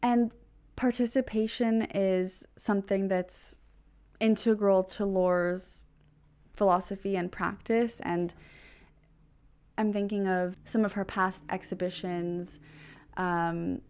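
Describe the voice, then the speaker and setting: Woman, gallery representative as interviewee. Slow, deliberate delivery, smooth clear tone, articulate art explainer. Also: medium pitch, fairly even intonation, darkish tone.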